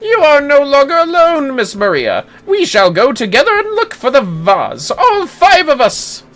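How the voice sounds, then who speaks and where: A woman's voice: sits high, wildly swooping pitch, measured pace, a bit bright, pitched above the performer's own voice, exaggerated voice. A woman voicing a pompous, chivalrous amateur detective with grandiose, archaic, florid speech. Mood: gallant.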